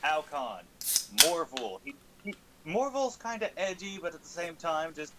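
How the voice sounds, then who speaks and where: A man's voice: a little high, a little slow. A man in a casual, informal chat, recapping a tabletop game as a player and swearing lightly. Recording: steady audible background noise.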